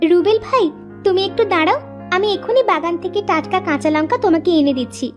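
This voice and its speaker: A woman's voice: very high-pitched, fairly quick, dull audio, soft background music. A woman voicing a young, hardworking village girl in a cartoon moral story, earnest and sweet. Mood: cheeky. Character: young village sisters, dutiful, cheerful, hardworking girls.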